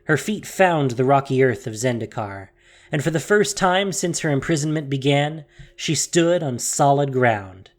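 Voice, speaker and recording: A narrator reading a dramatic audio story theatrically, with deliberate pauses and hushed emphasis, in a scripted style. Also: medium pitch, animated. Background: music.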